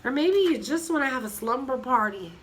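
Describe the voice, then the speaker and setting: A woman in her thirties with a cold, hoarse and sniffly, chatting casually in a rambling way with many fillers and restarts. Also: high voice, animated, brisk, a little hiss or hum. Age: 30s. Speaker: vlogger. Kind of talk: casual chat.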